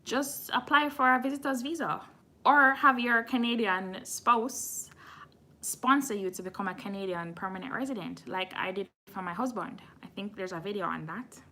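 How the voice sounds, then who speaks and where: A woman's voice: medium pitch, moderate pace, pushed and bright. A woman from Jamaica hosting a casual live stream, answering viewer questions frankly and admitting when she doesn't know. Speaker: immigration channel host.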